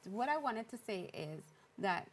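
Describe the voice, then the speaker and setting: A woman's voice: a little high, very animated, a little slow, slight background noise. A woman from Trinidad and Tobago with a Trinidadian accent, hosting a casual talk show in a chatty, playful, upbeat way. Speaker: host.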